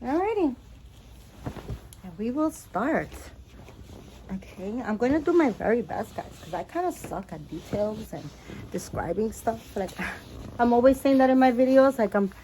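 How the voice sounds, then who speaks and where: A woman's voice: fairly high pitch, animated, normal speed, long pauses, mellow, a little hiss or hum, low-quality recording. A woman with a Spanish-influenced accent, talking casually in a home vlog. Her talk is chatty and apologetic, full of fillers and restarts, and she moves closer to and farther from the camera mic. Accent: Spanish-influenced English. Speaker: doll collector vlogger. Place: home apartment.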